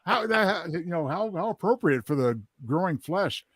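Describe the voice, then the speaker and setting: A man's voice: high-pitched, animated, moderate pace, crowd noise. A man chiming in with casual, joking commentary at a listening party.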